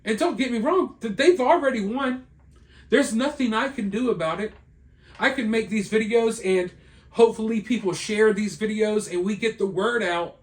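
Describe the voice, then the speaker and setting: A man with a high voice that has gone hoarse from overuse, sounding worn out. He rants with emphatic indignation, delivering his commentary straight to the camera on his own. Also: normal speed. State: tired. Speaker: online video commentator. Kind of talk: solo commentary vlog.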